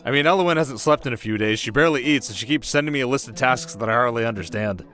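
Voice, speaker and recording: A man's voice: medium pitch, quick pace, a bit bright, faint music behind, lightly acted. Man playing a boyish, easily flustered warrior duke. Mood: earnest, joking. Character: flustered, earnest, playful young warrior duke. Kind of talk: comic audio drama acting.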